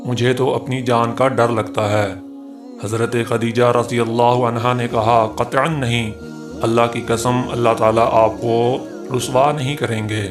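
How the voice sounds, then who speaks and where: A man reading a scripted Islamic story in a measured, formal, reverent storytelling manner. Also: medium pitch, flat delivery. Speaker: narrator of religious story channel. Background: music behind the voice.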